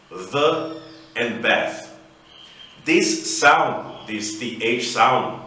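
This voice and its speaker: Slow, careful Brazilian-accented English teacher. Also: male voice, medium pitch, bright, forceful tone, slight background noise. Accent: Brazilian Portuguese. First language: Brazilian Portuguese. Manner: slow, careful, spelling out sounds one by one. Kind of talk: recorded online lesson. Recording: echoey room, voice away from mic.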